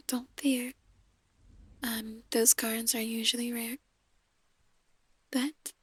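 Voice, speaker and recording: A woman playing a soft-spoken, ominous demon tarot reader in an ASMR roleplay, speaking in a measured, breathy near-whisper. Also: on the high side, no echo. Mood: hushed, foreboding. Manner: slow, measured, gently ominous. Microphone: close, dry microphone.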